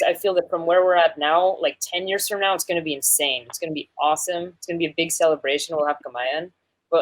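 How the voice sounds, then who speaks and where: A woman's voice: on the low side, poor audio. A woman filmmaker on a remote video call, speaking candidly and enthusiastically in a casual roundtable chat. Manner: candid, enthusiastic, informal slang. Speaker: filmmaker panelist.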